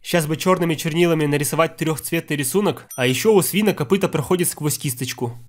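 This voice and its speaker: A man's voice: a little high, fast talker, soft background music. A man narrating scripted, nitpicking comedic commentary about film mistakes in a sarcastic way.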